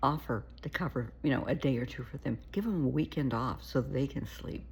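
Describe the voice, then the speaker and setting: Gravelly, husky-voiced woman therapist. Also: a bass voice, fairly quick. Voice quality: gravelly, shaky voice. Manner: earnest, pleading, repeats herself. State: getting a cold. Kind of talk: casual advice to camera.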